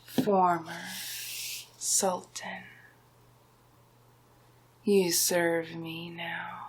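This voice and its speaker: A smug villain sorcerer played by a woman speaks in a husky, breathy hush for an ASMR roleplay. The pace is extremely slow and deliberate, often slipping into whispers. He gloats and commands like a hypnotist, domineering and sure of his power. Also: lowish voice, heavily acted.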